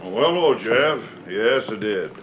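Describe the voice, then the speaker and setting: An actor playing a gruff, folksy older sheriff in a 1940s radio western, blunt and full of frontier slang. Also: male voice, fairly low pitch, lively intonation, measured pace, background noise. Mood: businesslike. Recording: dull 1940s radio recording.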